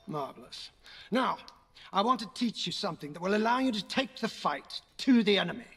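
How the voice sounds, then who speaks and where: An actor playing a stern, sardonic older uncle who gives commanding sword lessons in an audio game, with a raspy voice. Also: male voice, sits high, noisy background. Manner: commanding, dry sarcasm. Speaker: sword instructor. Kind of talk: dramatic audio game acting.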